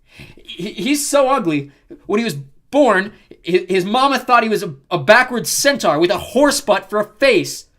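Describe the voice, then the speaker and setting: A man's voice: high voice, very animated, a little fast, a bit bright, pitched above the performer's own voice. A man voicing a cocky, hyperactive teenage boy in an audiobook, brash and swaggering as he shows off. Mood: boastful, mocking. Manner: swaggering, brash, exaggerated bragging. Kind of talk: audiobook character voice.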